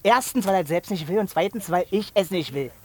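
An actor playing a brash, scheming, irritable village man in a naturalistic German radio drama, talking gruff, colloquial and bossy. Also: high voice, animated, fairly quick, background noise. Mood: irritated, defiant.

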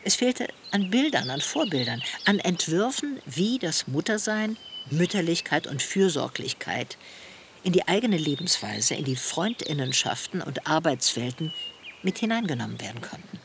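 A thoughtful middle-aged woman in a radio play, looking back on her life with earnest longing. Her delivery is measured and articulate, with a very lively melody. Birds and outdoor noise are clearly audible behind her. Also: deep voice. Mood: reflective, earnest. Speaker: main character in a radio play. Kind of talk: reflective first-person radio play dialogue.

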